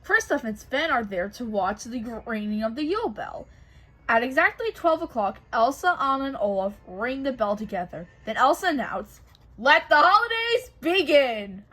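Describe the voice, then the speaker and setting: A woman reading a children's storybook aloud in an expressive narrating style. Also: fairly high pitch, normal speed. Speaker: co-host reading a picture book aloud.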